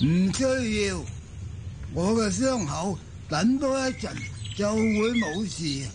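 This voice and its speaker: Solemn old tribe shaman for radio drama, high pitched, really drawn out and theatrical. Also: lively intonation, takes it slowly, background noise, full character voice. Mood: solemn, mysterious. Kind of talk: Cantonese radio drama acting.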